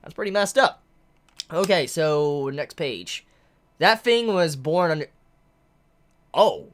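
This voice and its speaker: A low-pitched woman reacting live to a manga chapter, her voice swinging widely as she gets excited. She sounds congested because she is getting over a head cold. She talks in a chatty way and exclaims often. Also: slow, slight background noise.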